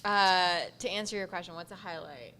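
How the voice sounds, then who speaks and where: A woman's voice: on the low side, moderate pace, pushed and bright, slight background noise. A woman podcaster speaking informally on a panel, telling anecdotes and peppering her talk with "like". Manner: informal, frequent "like" as filler.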